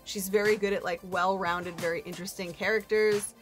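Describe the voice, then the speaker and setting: A woman with a mid-pitched voice chats casually as a solo book review host. She speaks informally, tossing in slangy, self-deprecating asides. Faint music plays behind her. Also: fairly even intonation, fairly quick.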